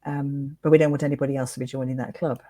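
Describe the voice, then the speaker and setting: A woman interviewing in a gentle conversational style, tentative and sympathetic. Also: extremely low pitch, flat delivery, quick pace.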